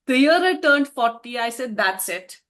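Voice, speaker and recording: Woman in her fifties, high voice. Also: lively intonation, normal speed, a bit bright. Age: 50s. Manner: reflective, laughs often, restarts phrases. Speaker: author being interviewed. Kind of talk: conversational interview answers.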